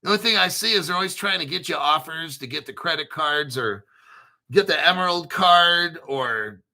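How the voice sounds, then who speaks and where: Gravelly, bright-voiced blunt male host. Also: fairly high pitch, expressive, moderate pace. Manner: blunt, profane, rambling with restarts. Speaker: crypto channel host. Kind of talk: casual livestream chat.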